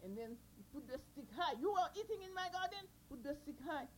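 A woman voicing an excitable, greedy farmer man who shouts exclamations in a folk tale. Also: sits high, brisk, slight background noise. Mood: pleading. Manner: loud exclamations, threatening.

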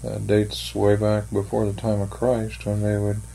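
An older man answering interview questions slowly and thoughtfully, with many pauses and fillers. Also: on the low side, completely flat delivery, vocal fry, dark tone, rough recording. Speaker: interviewee, church member. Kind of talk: oral history interview.

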